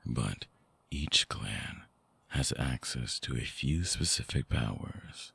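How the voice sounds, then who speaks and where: Guy doing a super low husky vampire ex, slow and halting, intimate asmr roleplay, seductive but vulnerable. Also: lively intonation, dry recording, full character voice. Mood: brooding, explanatory. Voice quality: very deep, gravelly, husky. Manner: slow, halting, softly explanatory.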